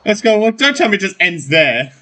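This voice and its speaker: A man's voice: high voice, sing-song, brisk, pushed and bright, a little hiss or hum. A man streaming a video game and commenting on it with offhand, reactive asides. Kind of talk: casual gameplay commentary. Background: game music.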